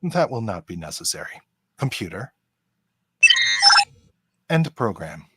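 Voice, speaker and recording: A man's voice: fairly low pitch, very animated, unhurried, very noisy. A man playing a polite, literal-minded android officer who speaks formally and precisely in a radio play.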